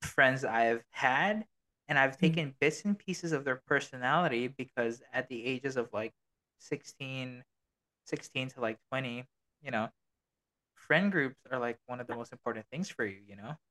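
A man's voice: medium pitch, moderate pace, bright, forceful tone, low-quality recording. A man in his early twenties chatting informally as a podcast co-host, with lots of filler phrases and loose, casual wording. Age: early 20s. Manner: informal, swears casually, peppers talk with "like" and "you know". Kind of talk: casual chat.

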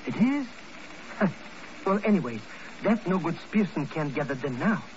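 A man playing a jittery, cowardly comic sidekick in a 1950 radio drama, wheedling and excitable in colloquial speech. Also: fairly high pitch, measured pace. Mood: puzzled, dismissive. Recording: muffled, noisy old radio broadcast.

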